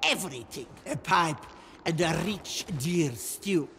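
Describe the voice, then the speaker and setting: A sinister old crone of the wild for a fantasy game. She speaks in slow, cryptic incantations, her pitch rising and falling dramatically, menacing and fierce, over noticeable background ambience. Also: animated, fairly bright tone, a little hiss or hum, full character voice. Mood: brooding, cryptic. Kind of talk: theatrical fantasy game voice lines.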